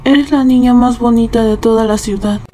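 A woman's voice: fairly high pitch, fairly even intonation, moderate pace, warm tone, voice pushed down, close to an everyday voice. A woman voicing a lovesick, jealous boy in a Latin American Spanish comic fandub, pleading and overdramatic. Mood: adoring.

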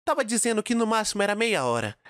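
A man's voice: high-pitched, big pitch swings, fast talker, a bit bright, dry recording, clean studio sound. A man with a Brazilian accent narrating a comedic scripted story in an exaggerated, dramatic, slangy way. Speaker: storytime animation narrator, dubbing in Portuguese.

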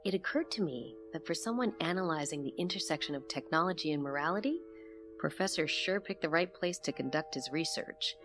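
A woman acting as an investigator who narrates a mysterious case in a measured first-person audio drama. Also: deep voice, expressive, music in the background. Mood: measured, reflective.

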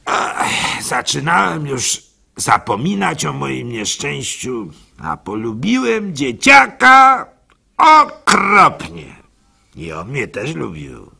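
Husky, animated meek simpleton baker. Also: male voice, on the high side, slow, exaggerated voice. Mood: bewildered, confiding, then tender. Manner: hesitant, stammering, confessional. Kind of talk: radio drama monologue.

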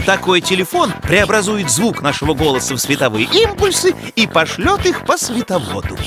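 Brainy young cartoon animal, very animated pitch, didactic, precise, music underneath. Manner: didactic, precise, hesitant little hems. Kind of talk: animated educational cartoon dialogue, explanatory.

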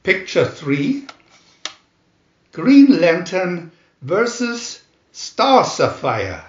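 A man reading scripted children's narration like an announcer, with a deliberate, dramatic delivery. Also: high voice, big pitch swings. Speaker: booklet reader and channel host. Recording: roomy echo, dull lo-fi sound.

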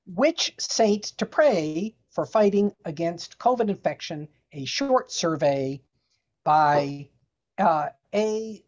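A woman reads aloud for a panel at a very unhurried pace. Her voice sits fairly low, and the call audio is dull and lo-fi. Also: expressive, darkish tone. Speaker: panel reader. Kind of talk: slow reading aloud and brief remarks.

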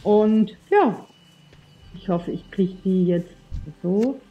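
Slow-talking woman with a slight cold. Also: mid-range voice, dull audio, background noise. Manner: rambling, chatty, many fillers; addresses viewers directly. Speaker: let's play streamer. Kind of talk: casual gameplay commentary.

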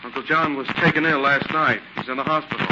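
An actor playing an irritable, evasive nephew, testy and defensive, in 1940s radio drama style. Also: male voice, medium pitch, steady tone, fast, close to an everyday voice. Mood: wary. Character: irritable, evasive nephew, adult man guarding his privacy. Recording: noisy, muffled 1940s radio recording with clicks.

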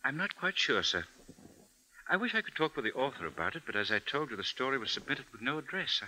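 An actor playing an earnest, deferential editor, grave and uneasy, in 1950s radio drama. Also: male voice, mid-range voice, big pitch swings, quick pace, pushed and bright, exaggerated voice, rough recording. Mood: hesitant, respectful.

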